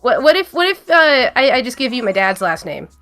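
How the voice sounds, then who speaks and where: A woman's voice: on the high side, sing-song, brisk, fairly bright tone, soft background music. A woman playing a naive, eager young female bounty hunter who gushes and hesitates in an improvised tabletop game. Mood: naive, hesitant. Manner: gushing, hesitant, guileless.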